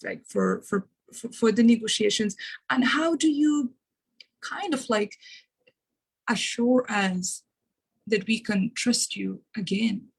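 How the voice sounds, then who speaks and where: Woman, from Afghanistan, panel moderator, hesitant, gravelly, husky. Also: medium pitch, poor audio. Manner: hesitant, many fillers and restarts. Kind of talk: panel discussion, personal remarks.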